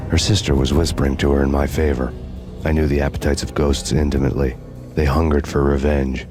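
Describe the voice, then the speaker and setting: A bitter, hardboiled ex-cop narrating his revenge in noir voice-over. His voice is extremely deep and barely moves in pitch, brooding and deadpan. A loud music score runs underneath. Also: a little fast. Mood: grim.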